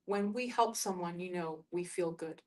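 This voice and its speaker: Woman thinking aloud in group discussion. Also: fairly low pitch. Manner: halting, searching for words.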